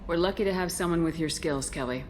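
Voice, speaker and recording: A woman's voice: on the low side, fast talker, slight background noise, close to an everyday voice. A woman playing a firm military commander in a video game, direct and controlled. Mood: businesslike. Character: firm, self-assured female military commander.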